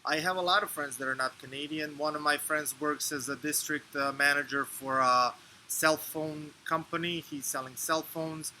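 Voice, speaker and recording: A man from Serbia in his thirties, answering interview questions in a measured, explanatory way with fillers and restarts. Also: fairly high pitch, fairly even intonation, pushed and bright, slight background noise, poor audio. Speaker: interview guest.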